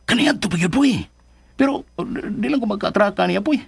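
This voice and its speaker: An actor playing an obsessed, scheming older man in a radio drama, excitable and hot-tempered, blustering with a Filipino Cebuano accent. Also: high voice, fairly quick, vocal fry, slightly rough, dry recording, full character voice. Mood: frustrated. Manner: agitated, blustering, swings from muttering to yelps. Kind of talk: Philippine radio drama acting.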